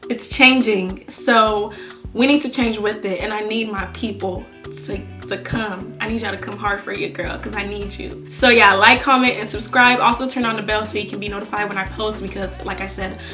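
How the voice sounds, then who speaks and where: Sassy chatty young woman. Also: medium pitch. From Nigeria. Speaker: YouTuber. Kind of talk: casual storytime chat while doing makeup.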